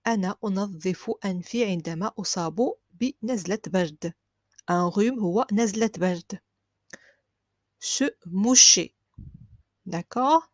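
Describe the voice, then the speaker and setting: A woman teaching a language lesson. She repeats each phrase slowly and clearly, then explains it quickly. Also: mid-range voice, no room sound, clean studio sound. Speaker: French teacher.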